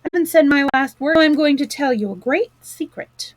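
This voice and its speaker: A woman's voice: sits high, moderate pace, slight background noise, voice pushed up, natural voice. A woman voicing a stern, wise old male badger in a storybook, speaking severely. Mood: reassuring. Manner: severe and commanding.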